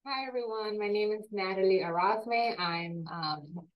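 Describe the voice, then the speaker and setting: A woman's voice: mid-range voice, unhurried, lo-fi sound. A woman, a research analyst, introducing herself on a video call in a friendly way, searching for words.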